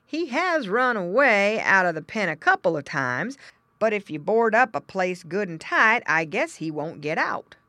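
A woman's voice: mid-range voice, very animated, a little slow, bright, forceful tone, no echo, pitched above the performer's own voice. A woman voicing a practical, plain-spoken male pig farmer in a children's storybook, folksy and emphatic. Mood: reassuring.

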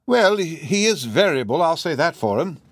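A man's voice: a little high, very animated, moderate pace, dry recording, pitched above the performer's own voice. Man putting on a chatty, deferential housekeeper woman. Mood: deferential, unsure. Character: chatty, deferential working-class female housekeeper, plain-spoken. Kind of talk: audiobook character voice.

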